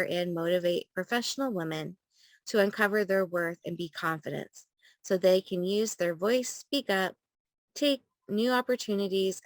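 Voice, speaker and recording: A woman's voice: medium pitch, no echo. A woman hosting a podcast, chatty and informal, with frequent ums. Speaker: podcast host, coach and therapist.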